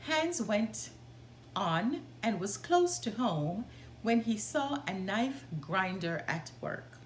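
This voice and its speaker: A woman reading a children's story aloud in an expressive, deliberate way. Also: medium pitch. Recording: roomy sound, background noise.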